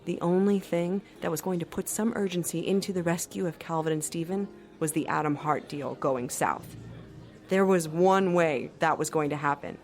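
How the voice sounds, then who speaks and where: A woman's voice: on the low side, normal speed, darkish tone, faint music behind, close to an everyday voice. An actress playing a shrewd, sardonic woman who narrates an audio drama in a wry, confiding manner. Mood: wry, scheming.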